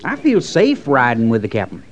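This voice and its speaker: An actor playing a loyal, plain-spoken young American cavalry trooper with folksy rural speech, in an old radio western with dull sound. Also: male voice, mid-range voice, wildly swooping pitch, moderate pace. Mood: admiring, thoughtful.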